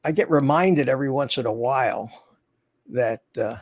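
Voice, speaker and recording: A man's voice: mid-range voice, moderate pace, no room sound, studio quality. A man in his seventies hosting a casual livestream, chatting in a rambling, folksy way and answering viewers. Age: 70s. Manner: rambling, folksy, reads viewer comments aloud. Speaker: livestream host. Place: his home in Florida.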